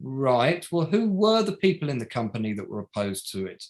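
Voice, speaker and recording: An actor playing a probing, sardonic barrister at a public inquiry in a play reading, formal and pointed, pressing with rhetorical questions. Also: male voice, mid-range voice, very animated, normal speed, natural voice. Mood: formal, accusing. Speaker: actor in an online table read. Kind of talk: courtroom-style cross-examination in a play reading.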